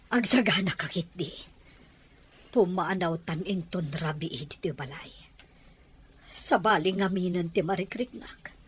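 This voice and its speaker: An actress with an Ilocano accent playing a determined, secretive mistress of a mansion in a radio drama. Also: female voice, fairly low pitch, fairly bright tone, slightly rough, full character voice.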